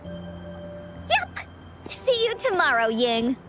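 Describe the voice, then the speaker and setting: Little girl game character, super high bouncy voice, cheerful storyteller, music behind. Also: huge pitch swings, drawn-out words, exaggerated voice. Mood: playful, upbeat. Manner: childlike, playful, speaks of herself in third person. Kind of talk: video game voice acting.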